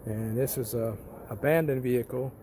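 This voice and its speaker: A man's voice: medium pitch, fairly even intonation, moderate pace, mellow, slight background noise. A man giving a casual narrated walkthrough as a tenant, explaining things plainly and repeating points for emphasis, recorded while walking around a parking lot.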